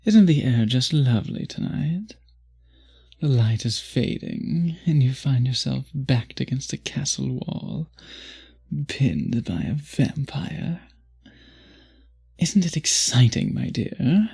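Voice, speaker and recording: A man voicing a charming, seductive vampire in a romantic ASMR roleplay, husky and breathy with a courtly, teasing manner, often dropping to a whisper. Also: medium pitch, lively intonation, warm tone, heavily acted. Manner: slow, courtly, teasing, flirtatious. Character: charming, seductive male vampire at a masquerade ball.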